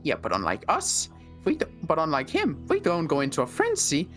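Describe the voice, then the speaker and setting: A man playing a touchy, grudge-holding gunslinger in a zombie game roleplay, with a halting, stumbling delivery. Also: on the high side, huge pitch swings, a bit bright. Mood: wary, halting. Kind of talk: improvised video game roleplay. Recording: background music.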